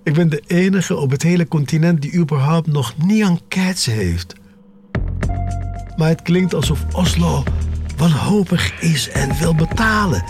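An actor playing a sly, obsessive collector who narrates his own tale in an unhurried, savouring way for an audio drama. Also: a little high, animated. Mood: sly, wistful. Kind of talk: scripted first-person audio drama narration. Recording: music bed under voice.

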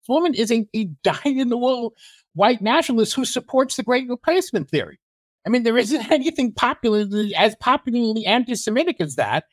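Male analyst guest, emphatic and earnest; high pitch, close and dry. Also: sing-song, fairly quick, no room sound. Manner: earnest, emphatic, occasional restarts. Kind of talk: interview, opinionated commentary.

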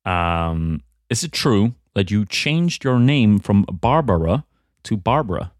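A man's voice: lowish voice, very animated, slow, dry recording, studio quality. A man hosting a comedy podcast, asking questions with many hesitations and fillers. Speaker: podcast host.

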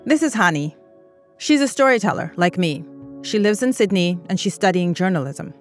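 A woman with a fairly low voice narrates a documentary podcast as its host. Her delivery is measured and serious, with music playing softly underneath. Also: lively intonation. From East Africa. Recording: music bed behind narration.

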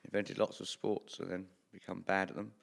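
A man improvising live comedy as a great leader, with a halting, deadpan delivery of absurd pronouncements. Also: low voice, creaky. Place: radio theatre stage before an audience. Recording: live theatre, loud audience, noisy.

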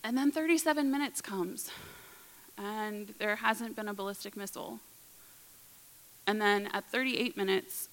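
A woman in her thirties telling a personal story to an audience in an earnest, vivid present-tense way, often dropping to a hush. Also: medium pitch, gravelly, slight background noise, poor audio.

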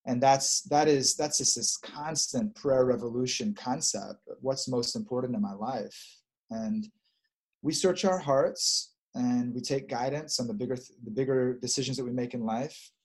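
A man leading a prayer and then talking gently and reflectively, repeating phrases for emphasis. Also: medium pitch, moderate pace, slightly rough. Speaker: prayer leader. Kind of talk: spiritual chat and prayer.

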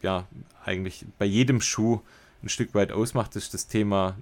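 A man's voice: fairly low pitch, steady tone, normal speed, a little mellow. A man chatting casually as a podcast co-host, enthusiastic and colloquial with bits of English slang. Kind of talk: casual podcast chat and product review.

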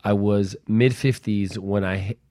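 A man's voice: lowish voice, steady tone, a little slow, mellow, dry recording. A man talking casually and conversationally as a panelist on a talk show, with some slang.

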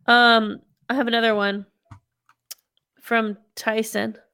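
A woman's voice: on the high side, flat delivery, slow pace, sharp tone, clear, resonant tone, no room sound, clean studio sound. A woman in her thirties co-hosting a podcast, chatting casually and reading listener emails aloud. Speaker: podcast co-host.

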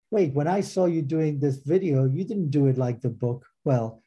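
A middle-aged man, a teacher and author being interviewed, explains his practice informally in halting, circling explanations. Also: fairly high pitch, mellow, breathy edge. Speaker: interview guest, meditation movement teacher and author.

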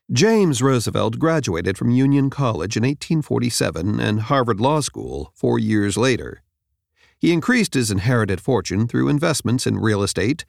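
A man reads a nonfiction audiobook in a measured, clearly enunciated way, close to the microphone. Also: medium pitch, dry recording. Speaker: audiobook narrator. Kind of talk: scripted nonfiction audiobook narration. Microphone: close studio microphone.

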